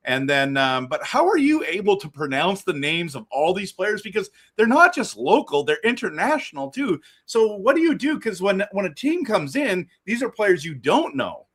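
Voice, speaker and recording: A man hosting a casual interview, talking in an excitable, rambling way with many restarts. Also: high-pitched, normal speed, few pauses, slightly rough. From Canada. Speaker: host.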